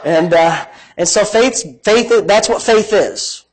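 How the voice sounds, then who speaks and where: A man's voice: high-pitched, takes it slowly, poor audio. A man preaching in a conversational teaching style, with a big voice and an emphatic, folksy manner that repeats phrases for emphasis. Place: live-streamed church service, amplified.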